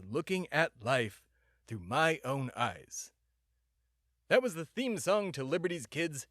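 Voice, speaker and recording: A man's voice: a little high, a bit bright, no room sound, clean studio sound. A man playing a pompous, self-important male patriot who hosts a comedy podcast in a mock-serious, grandiose way. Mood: grandly dramatic. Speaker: podcast host. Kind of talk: mock-serious comedic monologue and dramatic reading.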